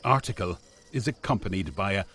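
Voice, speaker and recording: A man's voice: low-pitched, animated, heavy background noise. A man playing a formal narrator in a radio play, reading out in a dry, official manner. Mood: official, detached. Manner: dry, official, measured wording. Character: formal male narrator reading factory reports and stage directions.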